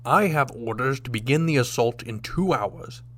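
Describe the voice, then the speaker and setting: An actor playing a proud, stern old Klingon warrior captain in a sci-fi audio drama, formal and declamatory in manner. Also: male voice, mid-range voice, animated, moderate pace, fairly bright tone. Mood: grave threat.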